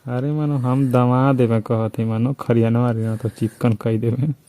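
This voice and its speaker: A man's voice: medium pitch, little pitch movement, measured pace, mellow. A man with a Bhojpuri accent, a thresher operator, chatting casually and teasingly on a phone call while lying under a tree outdoors.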